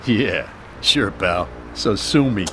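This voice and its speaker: An actor playing a cocky, scheming street drifter with swaggering, slangy talk in a radio drama. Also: male voice, fairly low pitch, expressive, slow pace, background noise. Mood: insolent, commanding.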